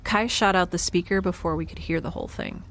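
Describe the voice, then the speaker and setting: A woman's voice: lowish voice, fairly quick, a bit bright, lightly acted. A woman playing a quirky, self-doubting young scientist in a sci-fi audio drama, chatty and wry with hesitant, natural delivery. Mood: concerned.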